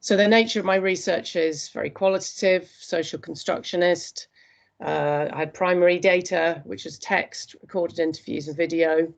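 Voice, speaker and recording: A woman presenting her postgraduate dissertation research in an earnest, steady academic talk, with frequent hesitations. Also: fairly low pitch, gravelly.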